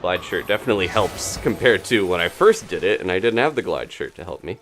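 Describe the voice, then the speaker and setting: A man's voice: low-pitched, animated, brisk, soft background music. A man doing live gameplay commentary as a streamer, chatting casually and thinking aloud in a rambling way. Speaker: video game streamer.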